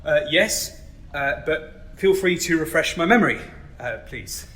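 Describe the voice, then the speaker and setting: A man acts as a flustered young starship ensign in a sci-fi audio drama. His voice is bright and fairly high, and he stumbles apologetically over his words in a roomy space. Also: expressive, a little slow. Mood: nervous apologetic. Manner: stammering, flustered. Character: nervous young male starship security ensign, apologetic and scatterbrained.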